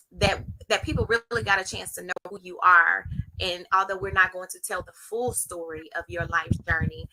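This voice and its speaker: A woman's voice: on the low side, pushed and bright, poor audio. A woman hosting a conversational livestream interview, speaking emphatically and repeating phrases for stress. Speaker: live show host and interviewer.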